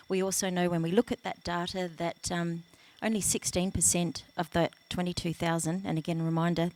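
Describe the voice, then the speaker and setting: Woman, soft-spoken, low voice, flat even delivery, panel at an event. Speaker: national children's commissioner. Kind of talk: panel discussion. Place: conference panel at a live event. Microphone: event microphone.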